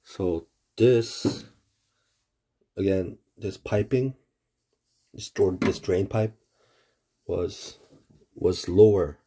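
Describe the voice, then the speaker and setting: A man casually explaining a home heater repair, halting with fillers and restarts, recorded on a phone in a basement utility room. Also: deep voice, dark tone, husky. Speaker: homeowner showing a furnace repair. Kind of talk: casual how-to video. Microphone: phone camera held close.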